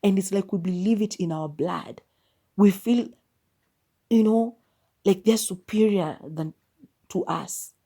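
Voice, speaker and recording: A woman's voice: medium pitch, slow, pauses often, dark tone. A young woman hosting a solo podcast, speaking her mind candidly and mocking what others say. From Kenya. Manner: opinionated, candid, mock-quotes people. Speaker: podcast host.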